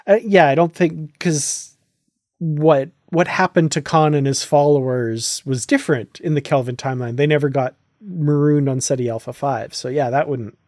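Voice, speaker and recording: A man's voice: fairly high pitch, fairly even intonation, normal speed, a little mellow, no echo, clean studio sound. A man hosting a casual podcast discussion, explaining his points and checking in with his listener as he goes. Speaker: podcast host. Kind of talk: casual podcast discussion of a science fiction franchise.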